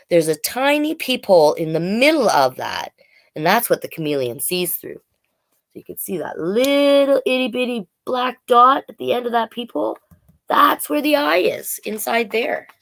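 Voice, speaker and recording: A woman reads a picture book to children with a very animated, swinging intonation at a medium pitch. She is playful and expressive, slipping from the reading into chatty little explanations for her young listeners. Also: gravelly. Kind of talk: storytime read-aloud with chatty asides.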